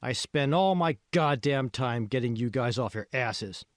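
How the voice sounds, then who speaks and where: A man's voice: medium pitch, animated, unhurried, dry recording, studio quality, close to an everyday voice. A man voicing a bossy, irritable foreman in an audiobook, coarse and impatient, haranguing his crew. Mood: grumbling, scornful. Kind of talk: audiobook character dialogue.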